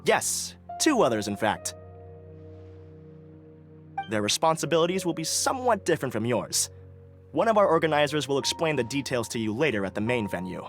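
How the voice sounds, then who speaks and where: Courteous festival organizer. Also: male voice, mid-range voice, big pitch swings, moderate pace, pauses often. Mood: explanatory. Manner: courteous, formal, explanatory. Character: polite young male festival organizer. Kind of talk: video game voice acting. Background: soft game music.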